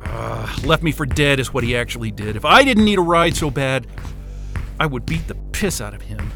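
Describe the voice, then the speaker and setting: Frantic hot-tempered stranded guy, bright forceful voice all over the place, audio drama w music behind. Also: medium pitch, normal speed, vocal fry. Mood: agitated, indignant. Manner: excitable, exasperated, muttering and cursing. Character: frantic, hot-tempered stranded young man, foul-mouthed and impatient. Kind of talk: naturalistic audio drama acting.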